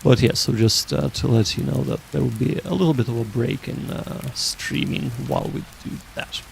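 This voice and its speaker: A man hosting a study livestream, chatting casually in a halting, hesitant way. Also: medium pitch, creaky, slight background noise, rough recording.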